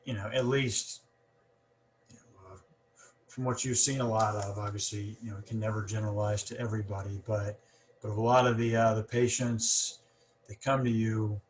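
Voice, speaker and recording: A man's voice: lowish voice, flat delivery, normal speed, slight background noise. A man hosting a casual podcast conversation, talking with a stutter and many repeats and fillers. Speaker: podcast host.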